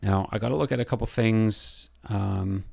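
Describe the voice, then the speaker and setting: Man, very low voice, heavy vocal fry, hoarse and scratchy, halting tutorial walkthrough. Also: darkish tone. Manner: thinking aloud, halting with fillers. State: hoarse, losing his voice. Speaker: building designer. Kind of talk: software tutorial screencast.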